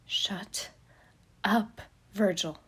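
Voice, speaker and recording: A woman voicing a tired young man who tries to soothe a panicking friend, then snaps back curtly, in a husky voice. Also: medium pitch, steady tone, drawn-out words, fairly bright tone, heavily acted.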